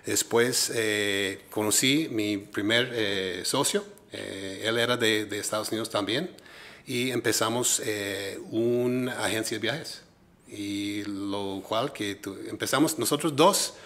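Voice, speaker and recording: A man's voice: fairly low pitch, slow, a bit bright. A man from Minnesota with an American English accent, middle-aged, speaking Spanish as a second language and answering interview questions hesitantly with many fillers. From United States, Minnesota. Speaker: guest, entrepreneur.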